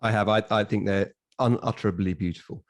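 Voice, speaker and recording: A man with a fairly low voice co-hosts a casual podcast. His wording is erudite, alternately halting and emphatic, and the recording is dry and close. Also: steady tone, dry recording. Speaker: podcast co-host.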